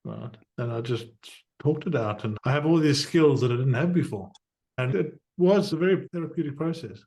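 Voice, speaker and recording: A middle-aged man from southern Africa who hosts a podcast, explaining things with energy and quick asides. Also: on the high side, animated, darkish tone. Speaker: podcast host. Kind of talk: conversational interview.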